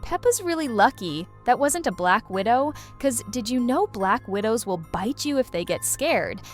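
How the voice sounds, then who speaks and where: Animated, high-voiced woman hosting a video. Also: moderate pace, soft background music. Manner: playful, exaggerated, chatty. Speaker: host of a reaction video channel. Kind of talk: casual scripted commentary for young viewers.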